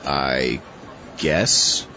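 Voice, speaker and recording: A man's voice: rumbling low, steady tone, extremely slow, some chatter behind, full character voice. A man playing an easygoing, awkward zombie astronaut sidekick in an audio comedy, hesitant and drily bemused. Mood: awkward, polite. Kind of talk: audio comedy drama acting. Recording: muffled audio with loud background noise.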